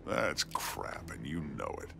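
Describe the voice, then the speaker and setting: An actor playing a gruff, wisecracking demon hero in a video game, gravelly, blunt and sardonic. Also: male voice, very deep voice, wildly swooping pitch, takes it slowly, exaggerated voice. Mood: dry. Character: gruff wisecracking demon brawler, grown male monster hero. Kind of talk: video game character dialogue. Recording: game music behind.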